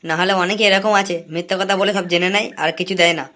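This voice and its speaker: A man's voice: sits high, steady tone, racing, sharp tone. A man voicing a cagey, money-minded young informer in a comic cartoon, wheedling and chatty. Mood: eager, bargaining.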